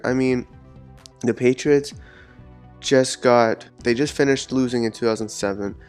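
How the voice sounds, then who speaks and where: A man's voice: medium pitch, a little mellow. A man hosting a solo sports podcast, talking in an earnest, rambling way while recovering from a cold. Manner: earnest, rambling with repeated words. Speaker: podcast host.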